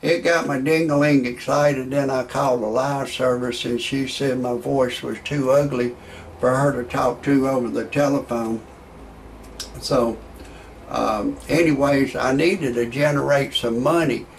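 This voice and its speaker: A man's voice: medium pitch, steady tone, measured pace, slight background noise, poor audio. A man telling jokes and stories in a rambling comedic monologue, often stammering and restarting as he chuckles through his own material. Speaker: amateur comedian commentator.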